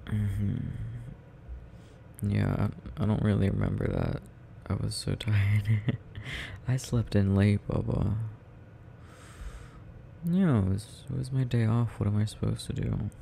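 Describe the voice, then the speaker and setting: A drowsy, affectionate young boyfriend played by a man murmurs in a low voice at an unhurried, slow pace. He sounds tender and needy, as in an intimate ASMR roleplay. There is faint noise behind his close-miked voice. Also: steady tone, creaky, dark tone, full character voice.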